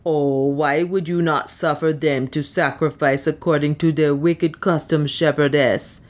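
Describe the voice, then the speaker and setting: A man's voice: on the high side, steady tone, a little slow, natural voice. A woman voicing a blunt, callous male servant in an audiobook, complaining and grumbling. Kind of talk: audiobook character speech.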